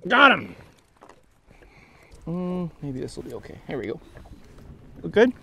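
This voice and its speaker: A man's voice: on the high side, wildly swooping pitch, a little slow, vocal fry, bright, forceful tone, a little hiss or hum. A young man with a Canadian accent, talking casually as he hosts a fishing vlog outdoors on a boat. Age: young adult. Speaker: host of a fishing show. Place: outdoors on a small boat on a lake.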